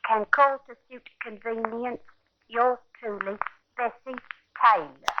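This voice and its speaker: An actress playing a prim young job applicant, formally reciting a letter in 1950s radio style. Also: female voice, on the high side, steady tone, slow, background noise. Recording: muffled 1950s radio recording.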